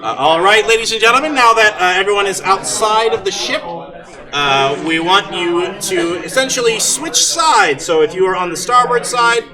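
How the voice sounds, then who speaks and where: Male game master, high register, halting amused improviser, live convention hall with audible crowd. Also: animated. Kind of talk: narrating a live tabletop roleplaying game. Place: live event at a gaming convention. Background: audience crowd.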